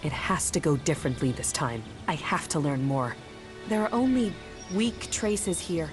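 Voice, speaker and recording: Casting: intense mystic game heroine, earnest, searching, lowish voice, faint score underneath. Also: female voice, sing-song, moderate pace, over music. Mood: eager, intent. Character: earnest, intense woman seer sensing mystical energy. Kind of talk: video game cutscene acting.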